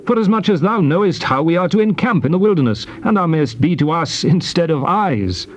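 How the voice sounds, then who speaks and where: An actor playing an earnest, fervent Hebrew leader in a dramatized scripture recording, in a declamatory manner. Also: male voice, a little high, lively intonation, a little fast, natural voice. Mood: pleading. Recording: dull tape, faint noise.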